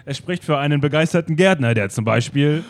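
A man's voice: fairly high pitch, lively intonation, brisk, soft background music, pitched above the performer's own voice. A man playing a pompous detective in an improvised radio play, declaiming with theatrical emphasis. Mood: grandiose. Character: pompous theatrical male detective, grand speeches. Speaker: improv performer.

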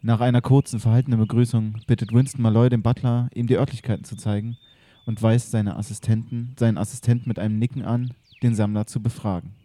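Calm male radio-play narrator. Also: mid-range voice, fast talker.

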